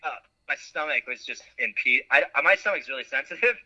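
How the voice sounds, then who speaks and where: A man talking as a guest in a casual interview, animated and quick to laugh at himself, with plenty of fillers. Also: medium pitch, muffled recording.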